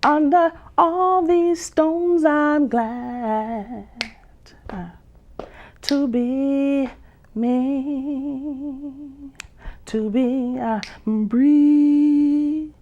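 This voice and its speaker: Slow, high-voiced woman poet, lo-fi. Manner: slow, drawn-out delivery with long pauses and hums. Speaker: poet and vocalist guest. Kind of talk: slow spoken-word poetry with sung lines. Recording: dull lo-fi sound.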